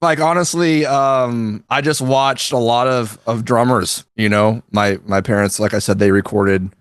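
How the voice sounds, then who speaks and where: A man's voice: medium pitch, fairly even intonation, a little slow, clear, resonant tone, no echo, clean studio sound. A man in his thirties, a guest drummer on a podcast, talking in a laid-back, slangy way and laughing often. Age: 30s. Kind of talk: casual podcast chat.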